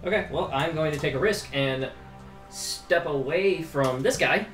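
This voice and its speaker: A man's voice: fairly high pitch, a little slow. A man playing a tabletop game, thinking aloud in a tentative, casual way. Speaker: player. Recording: roomy room with music under.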